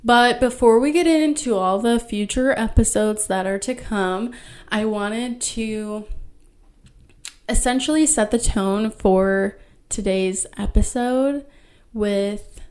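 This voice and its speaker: Slow, soft-spoken woman in her thirties. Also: a little high. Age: 30s. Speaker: podcast host. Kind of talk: solo podcast talk.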